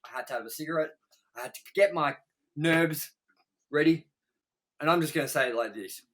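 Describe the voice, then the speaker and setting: A man with a fairly high voice and a bright, forceful edge. He talks like the co-host of a casual review show, opinionated and emphatic. His speech is a rant thick with swearing. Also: brisk. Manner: ranting, emphatic, swears heavily.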